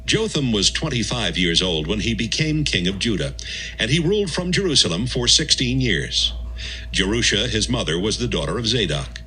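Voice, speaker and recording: A man narrating a dramatised audio Bible as a scripted reading, in measured, clearly phrased storytelling. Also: fairly low pitch, sing-song, sharp tone, a little hiss or hum, poor audio. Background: music.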